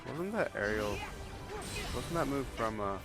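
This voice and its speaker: A man commentates casually on his gameplay in a mid-pitched voice. Clear game music plays behind him. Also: lively intonation, measured pace. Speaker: video game player commentating.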